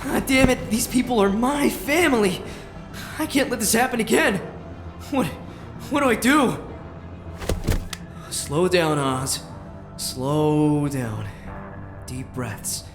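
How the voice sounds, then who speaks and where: An anxious, protective man, acted by a male performer in a fandub monologue. The voice is fairly high, halting and muttering, with a roomy echo and music behind it. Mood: panicked. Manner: muttering, halting, talks himself through it. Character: anxious, protective man named Oz, panicking to himself. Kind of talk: fandub voice acting, dramatic monologue.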